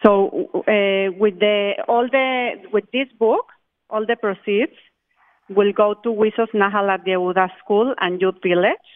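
Woman with a mexican spanish accent, tinny phone line, careful halting speech. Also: mid-range voice, steady tone. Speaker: charity group chair. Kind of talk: phone interview.

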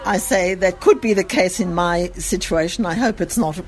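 A woman's voice: fairly low pitch, moderate pace. A middle-aged woman who owns a gift shop, chatting casually in an interview in her store.

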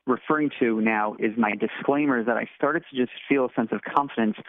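A man's voice: mid-range voice, no room sound. A man, author and interview guest, who speaks with a stutter and often repeats words. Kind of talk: interview conversation. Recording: tinny phone line.

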